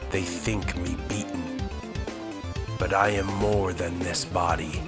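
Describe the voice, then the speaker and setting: A man playing a noble, weary alien hero, narrating a comic in a solemn, theatrical, measured way. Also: sits low, fairly even intonation. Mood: solemn, resolute. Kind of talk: dramatic first-person comic narration and dialogue. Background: loud music.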